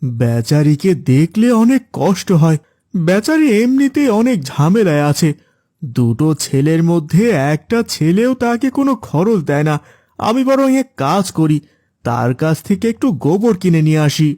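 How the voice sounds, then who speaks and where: A man plays a helpful village farmer in a cartoon tale. He sounds agreeable and earnest, with a lively melodic delivery. Music sits under the voice. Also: on the high side, moderate pace, no echo, studio quality. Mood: agreeable, sympathetic. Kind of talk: dramatised cartoon story dialogue.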